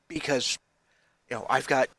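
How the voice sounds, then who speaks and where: Man in his forties, bright forward voice, headset mic, rambling, self-deprecating vlog chatter. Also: medium pitch, a little slow, no echo. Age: 40s. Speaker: vlogger. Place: basement room at home.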